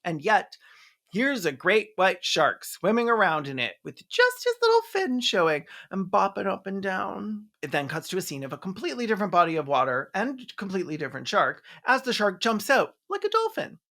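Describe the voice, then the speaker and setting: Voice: mid-range voice, very animated, normal speed, a bit bright. A video essayist narrating a movie recap in a sarcastic, mocking, scripted style with some swearing. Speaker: video essayist and film reviewer.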